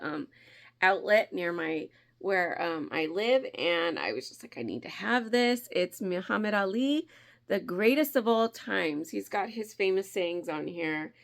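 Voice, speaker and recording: A woman's voice: on the low side, measured pace, vocal fry. A woman hosting a crafting vlog in casual chat, stuffy and nasal from a cold, talking from her kitchen at home. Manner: chatty, friendly, often laughing. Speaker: crafting channel host.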